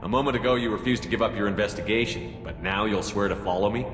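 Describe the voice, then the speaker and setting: A man acting as a cool-headed human commander in a video game, direct, businesslike and even-tempered. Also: fairly low pitch, quick pace, music in the background, natural voice.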